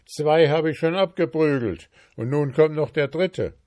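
A man voicing a gruff, bearded old dwarf king in a storybook reading, stern and grudging. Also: a little high, expressive, slow, a little mellow, no echo, pitched above the performer's own voice. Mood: threatening.

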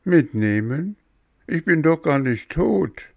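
A man's voice: mid-range voice, animated, a little slow, vocal fry, voice pushed up. A man voicing a stubborn, easily frightened little puppet boy in a storybook, whining and pleading dramatically. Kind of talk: storybook character voice.

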